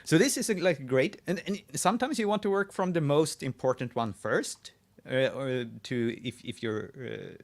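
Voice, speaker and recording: Creaky-voiced Swedish man, tutorial host. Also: mid-range voice, expressive, moderate pace. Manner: thinking aloud while typing, frequent restarts. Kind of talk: live screen-share tutorial.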